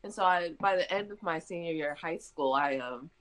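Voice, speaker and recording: A young woman in her twenties from Michigan talks as a guest on a casual show. Her voice sits low, and she speaks in a chatty way, often restarting her sentences and filling pauses. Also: a bit bright. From Michigan, USA. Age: 20s. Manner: chatty, frequent restarts and fillers. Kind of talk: casual conversation.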